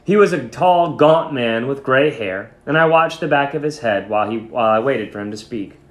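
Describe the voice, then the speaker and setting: A man speaking as a teacher, reading and instructing in an expressive, emphatic way. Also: mid-range voice, moderate pace. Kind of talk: dramatic story reading and lesson instruction. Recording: echoey room.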